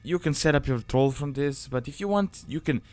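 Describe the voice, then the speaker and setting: A man's voice: a little high, animated, moderate pace. A man hosting a casual, explanatory gaming guide as a voice-over, talking in an informal, loosely phrased way. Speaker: video guide host. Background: background music.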